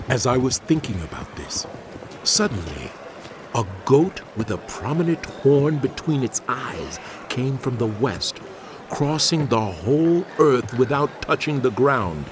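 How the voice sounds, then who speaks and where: A man's voice: mid-range voice, very animated, slow, noisy background. A man playing a troubled prophet, recounting visions in solemn, dramatic scripture narration. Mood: solemn, awed.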